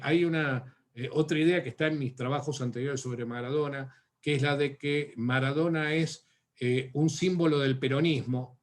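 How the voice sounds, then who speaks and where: Argentine man lecturing, fairly high voice. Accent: Argentine Spanish. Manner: thoughtful, many fillers and restarts. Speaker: academic speaker. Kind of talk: seminar answer to questions.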